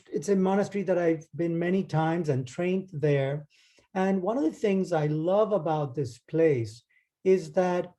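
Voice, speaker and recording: A man from Argentina gives an academic talk over a video call. His voice is warm and mellow, pitched slightly high, and he speaks in a relaxed, hesitant way, often restarting phrases. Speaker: guest lecturer. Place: home or office, speaking into a computer.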